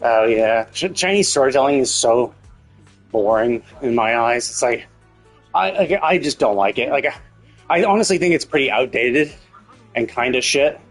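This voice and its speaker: A man's voice: medium pitch, lively intonation, normal speed. A man livestreaming a game with casual commentary, speaking bluntly, full of opinions and casual swearing. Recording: game music behind the voice. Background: video game music.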